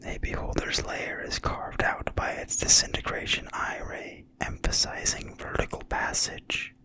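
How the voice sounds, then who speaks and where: A breathy whisperer reads aloud in ASMR style, never using full voice. The whisper is bright and sibilant. The delivery is slow, hushed and deliberate, with measured pauses between phrases. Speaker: narrator reading from a fantasy game manual.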